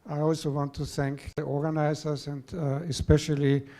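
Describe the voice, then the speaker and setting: A man starting his talk on a conference panel, speaking hesitantly with many pauses and fillers. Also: fairly high pitch, completely flat delivery, dark tone. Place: conference hall.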